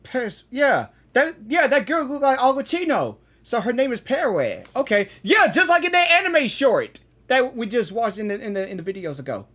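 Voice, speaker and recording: A woman reacts to a game story in loose, rambling chat. Her voice is husky, with a pitch that swings up and down as she gets excited. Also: normal speed, few pauses. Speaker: game reaction video host. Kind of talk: casual reaction commentary.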